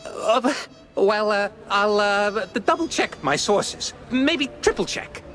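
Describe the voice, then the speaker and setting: A man's voice: high voice, animated, slow pace, music in the background. A man voicing a nervous, bumbling small-time rodent in a cartoon video game, with a hesitant, stammering delivery. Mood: flustered.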